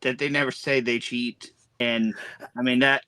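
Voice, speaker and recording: A man's voice: mid-range voice, little pitch movement, normal speed, sharp tone, clean tone, dry recording. A man hosting a wrestling podcast, chatting in a wry, matter-of-fact way. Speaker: podcast host and ring announcer. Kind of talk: casual podcast chat.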